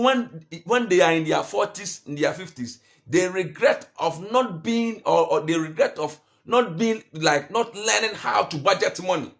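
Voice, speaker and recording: A man giving a motivational finance talk, full of restarts and rhetorical questions, and growing louder and higher when he urges his listeners. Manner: rises in pitch and force when urging. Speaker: finance coach.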